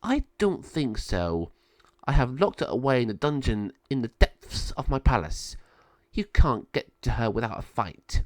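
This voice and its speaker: A man's voice: medium pitch, very animated, measured pace, no echo. A man voicing a scheming, sneering female sorceress villain in a storybook tale. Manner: sneering, gloating.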